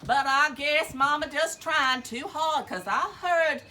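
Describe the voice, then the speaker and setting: A woman putting on a loud, folksy, middle-aged mama hiker, hollering and talking about herself in the third person. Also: sits high, a little slow, very noisy. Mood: hammy. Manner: exclamatory, theatrical, calls herself Mama. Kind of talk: comic character bit.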